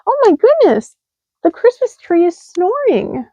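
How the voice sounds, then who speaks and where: A woman voicing a small mouse who is easily alarmed, in a shrill, high voice. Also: lively intonation, slow pace, mellow, no echo, lo-fi sound, heavily acted.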